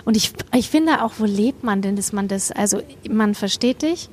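A woman's voice: medium pitch, expressive, normal speed, darkish tone, slight background noise. A woman hosting a podcast, talking enthusiastically and emphatically in casual slang. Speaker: podcast host.

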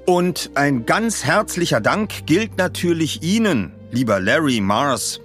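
A man's voice: fairly high pitch, very animated, slow pace, a bit bright, faint music behind, voice pushed up, heavily acted. A man voicing a formal dignitary who gives a gushing, ceremonious thank-you speech in an audio story.

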